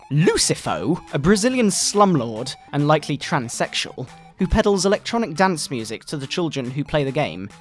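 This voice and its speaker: A pompous fundamentalist doctor, acted by a man as satire, sermonizes with theatrical indignation. The voice sits slightly high and swings widely in pitch, with retro video game music playing softly behind it. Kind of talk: dramatic satirical scripted reading.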